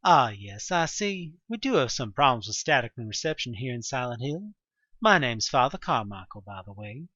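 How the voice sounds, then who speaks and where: Narrator doing a calm, courteous male priest. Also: medium pitch, normal speed, dry recording, highs cut off. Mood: calm, guarded. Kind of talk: audio drama dialogue.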